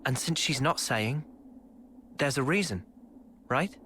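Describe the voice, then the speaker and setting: A man's voice: medium pitch, lively intonation, slow pace, pushed and bright, slight background noise. A man voicing a polite, earnest young hero in a video game. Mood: resolute. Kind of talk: video game cutscene acting.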